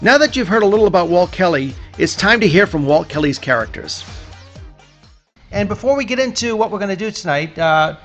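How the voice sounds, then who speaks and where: A man speaks as a podcast host, introducing a live show. His voice sits fairly high, and music plays softly behind him. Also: animated, brisk. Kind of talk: scripted introduction and live hosting. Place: live venue at a hotel.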